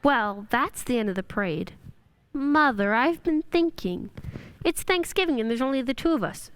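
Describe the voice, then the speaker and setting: A woman's voice: high voice, animated, normal speed, clean tone. A woman playing a blunt, skeptical, precocious young girl in a student radio play. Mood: eager. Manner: matter-of-fact, blunt.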